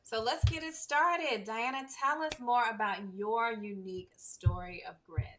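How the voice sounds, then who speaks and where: A woman's voice: a little high, a little slow, a bit bright, slight background noise, low-quality recording. A woman hosting an online talk show, reading a guest's bio with enthusiastic asides, on a video call. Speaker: host.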